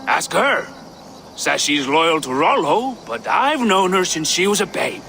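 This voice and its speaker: A bitter old Viking warrior from a video game. His voice sits high and swings widely, with heavy gravel in it. He talks in blunt, clipped rustic phrases. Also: big pitch swings, measured pace, pushed and bright, faint music behind, full character voice. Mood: grim, resentful. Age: older.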